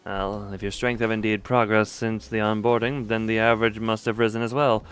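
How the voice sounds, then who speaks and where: A man's voice: lowish voice, level tone, a little fast, fairly bright tone, clean tone, soft background music. A man on a game livestream, reading dialogue aloud and making casual remarks.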